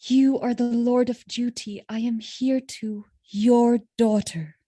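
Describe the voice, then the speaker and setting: A woman's voice: a little high, steady tone, takes it slowly, mellow. An actress playing a dutiful, resolute young noblewoman in love, earnest and formal, in a Shakespearean audio drama. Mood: respectful, resolute.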